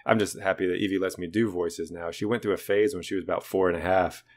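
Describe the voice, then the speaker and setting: A man with a naturally deep voice, co-hosting a podcast in a rambling, self-deprecating chat. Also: fairly even intonation, quick pace. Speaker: podcast co-host. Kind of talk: casual chat.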